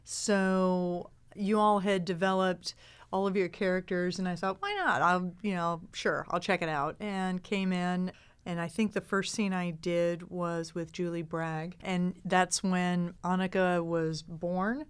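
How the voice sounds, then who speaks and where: Smooth, lower-voiced middle-aged woman chatting. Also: fairly even intonation, a little slow. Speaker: improv performer and podcast actor. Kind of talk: casual panel chat, telling anecdotes.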